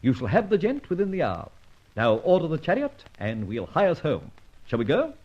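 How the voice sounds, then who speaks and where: Suave amateur detective for vintage radio drama: very animated, teasing, flowery, slightly husky, dull old recording. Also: male voice, a little high, moderate pace. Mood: playful, confident. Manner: flowery, teasing, theatrical speech. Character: suave, playful amateur sleuth, theatrical and self-assured.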